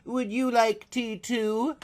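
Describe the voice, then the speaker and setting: Man putting on a prim young woman, high-pitched, slow, earnest, comic storybook heroine. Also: flat delivery, darkish tone. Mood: polite.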